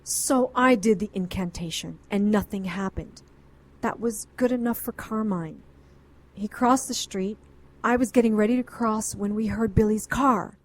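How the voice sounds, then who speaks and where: A woman's voice: mid-range voice, measured pace, a little hiss or hum, close to an everyday voice, rough recording. A woman playing a composed, defensive witch who frankly narrates her past in an audio drama. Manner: frank, self-justifying.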